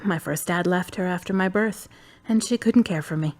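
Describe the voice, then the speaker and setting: An actress playing a calm, wise young woman in her twenties from the United States, who talks bluntly and teases dryly. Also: lowish voice, normal speed, close to an everyday voice. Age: 20s. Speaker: friend and love interest. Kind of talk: amateur web drama acting.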